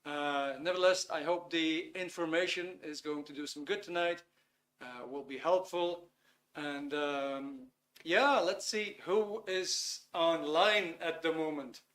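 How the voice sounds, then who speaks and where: A Dutch-accented man in his fifties hosts a live online talk in English. His voice is bright and sits a little high, and he speaks unhurriedly, pausing with fillers as he searches for words. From Netherlands. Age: 50s. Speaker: webinar host.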